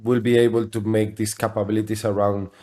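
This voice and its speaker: A man with a fairly low voice, speaking English as a second language. He talks as an interview guest, recounting things conversationally, often restarting and repeating his phrases. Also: little pitch movement, fairly quick, mellow. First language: not English. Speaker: interview guest, software company CEO.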